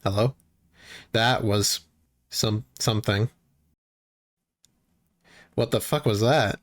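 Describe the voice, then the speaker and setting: A man's voice: lowish voice, steady tone, slow. A man giving casual, wry, sweary commentary and reactions on a horror series. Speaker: video essayist and reactor. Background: background music.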